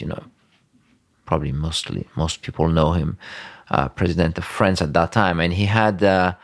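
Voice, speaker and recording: A very low, gravelly male voice, recorded close and dry. A middle-aged man raised in Tunisia who speaks haltingly, pausing often and filling gaps with "uh" and "um" as he answers interview questions. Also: no room sound. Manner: halting, many pauses and fillers. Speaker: winemaker guest. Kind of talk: interview conversation.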